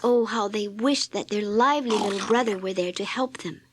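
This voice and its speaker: A woman reading a scripted children's read-along story in an expressive, deliberate storytelling manner. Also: medium pitch, noisy background. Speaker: storybook narrator.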